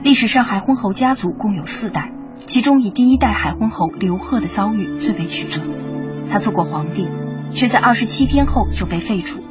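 A woman's voice: medium pitch, lively intonation, fairly quick. A woman narrating a radio documentary in a dramatic, scripted style. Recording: slightly muffled, music underneath.

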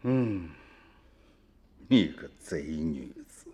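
Low folksy old storyteller, Shaanxi accent. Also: male voice, sing-song, extremely slow, dark tone. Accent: Shaanxi dialect. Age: older. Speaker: narrator and grandfather. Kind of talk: radio drama narration. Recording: reverberant radio drama with music.

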